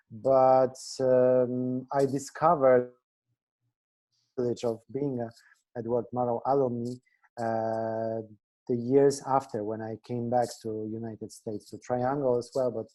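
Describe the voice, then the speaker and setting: A man's voice: medium pitch, dark tone, low-quality recording. A Polish-born journalist, a man speaking English as a second language, who restarts phrases while answering questions. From Poland. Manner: searching for words, restarts phrases. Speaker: journalist guest. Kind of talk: casual interview answers. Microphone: video call.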